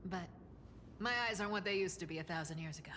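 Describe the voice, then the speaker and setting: A woman voicing a nostalgic, ageless character in a video game, breathy and reminiscing. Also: fairly low pitch, quick pace, pushed and bright, a little hiss or hum. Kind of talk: dramatic video game voice acting.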